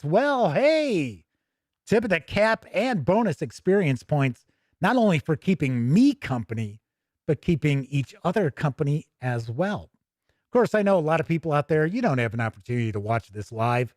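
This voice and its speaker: Guy doing a casual gaming stream, kinda high voice, folksy and chatty, really dry close sound. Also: no room sound. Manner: folksy, chatty, with jokey turns of phrase. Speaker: YouTube host of a tabletop gaming show. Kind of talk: casual livestream sign-off chat.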